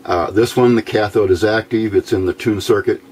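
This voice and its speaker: Man, lowish voice, informal tutorial host, plain-spoken with halting pauses, background noise, workbench camera recording. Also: steady tone, a little mellow. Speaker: host of a hands-on electronics tutorial. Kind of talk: informal unscripted explanation. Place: indoors at a workbench.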